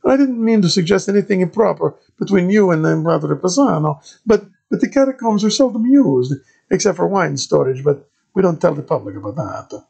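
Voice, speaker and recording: Italian monk, plump and fussy, played by a man, pitched high and hesitant, gravelly edge. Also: lively intonation, fairly quick, darkish tone, full character voice. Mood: flustered. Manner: hesitant, full of fillers.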